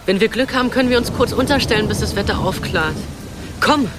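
A man's voice: high-pitched, fairly quick, close to an everyday voice. A young man acting an earnest, kindly boy, the protective older brother in a radio play. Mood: hopeful. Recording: music behind the voice.

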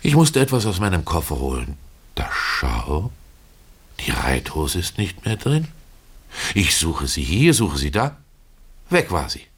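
A man's voice: low voice, sing-song, measured pace, long pauses, husky, exaggerated voice. An actor playing a humble, pious Russian tailor who confides his regrets in a folksy, ruminative radio-play storytelling manner. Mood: suspicious, brooding. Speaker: narrator of a literary radio play. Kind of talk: dramatic first-person storytelling.

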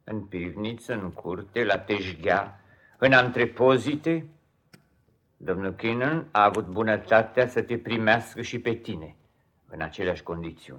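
An actor playing a cold, controlling stepfather in a 1950s radio drama, speaking in a formal, measured, stern way. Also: on the low side, a bit bright.

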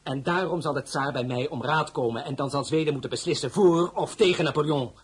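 An actor playing a weary, authoritative crown prince in a radio drama, speaking in a grave, formal and declamatory way. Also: male voice, on the high side, fairly quick. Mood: grave, urgent. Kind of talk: dramatized novel, radio play acting. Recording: clearly noisy recording.

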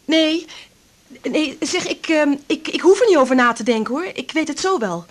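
An actress playing a grudging, calculating young woman in a naturalistic radio drama, halting and sharp-tongued. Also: high voice, expressive. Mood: eager, wary. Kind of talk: naturalistic 1980s Dutch radio drama acting.

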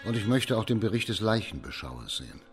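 Authoritative male judge, period radio mystery: low register, formal, deliberate, in command. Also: very animated, faint music behind. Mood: matter-of-fact. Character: authoritative, shrewd male judge in imperial China. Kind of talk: German radio crime drama acting.

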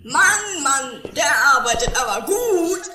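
A woman playing a brash, excitable, complaining comic household member in an amateur radio play. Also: sits high, slow, faint music behind, heavily acted. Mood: puzzled, excitable. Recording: distant, roomy, noisy 1980s amateur tape.

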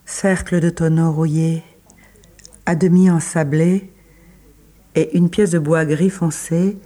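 A man narrates literary prose in a fairly high, mellow voice. He takes his time, setting each short phrase down deliberately with pauses between. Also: steady tone.

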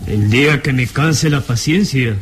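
A man's voice: medium pitch, measured pace, noisy background, close to an everyday voice. An actor playing an unstable, resentful young man with an agitated manner in a radio drama. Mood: resentful, irritated. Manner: agitated, stammering repetitions when rattled. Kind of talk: vintage radio drama acting.

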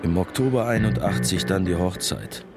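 A man's voice: low voice, normal speed, loud music behind the voice. An actor playing a middle-aged man who narrates his village youth in a radio drama, dry, wry and literary. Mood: rueful, dry. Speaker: first-person narrator.

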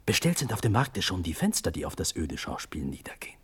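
A man's voice: low-pitched, huge pitch swings, brisk, fairly bright tone, slightly rough, full character voice. An actor playing a desperate young prince begging for his life, in fervent, declamatory classical radio-drama verse. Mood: haunted dread.

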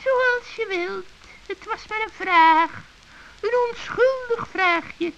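A man voicing a sly old female witch in a children's radio play, wheedling in drawn-out phrases with feigned innocence. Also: very high-pitched, fairly bright tone, voice pushed up, full character voice. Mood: feigned indifference. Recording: dull, hissy vintage recording with clicks.

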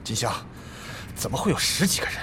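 A man's voice: brisk, noisy background, natural voice. An actor in a Chinese audio drama playing an agitated, blustering male guild leader. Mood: puzzled. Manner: agitated, forceful.

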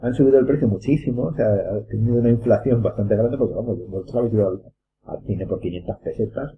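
A man's voice: fairly low pitch, fast. A man with a Castilian Spanish accent in casual podcast chat among friends, rambling and hesitant, on a dull, noisy lo-fi recording. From Spain. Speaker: podcast panelist.

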